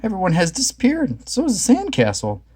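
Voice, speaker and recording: A goofy, always-hungry young guy, put on by a man reading a storybook yelps in a high, squeaky voice that shoots all over the place. The tone is husky and a little breathy, and he sounds jittery and easily panicked. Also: huge pitch swings, normal speed, a little mellow, full character voice. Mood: panicky.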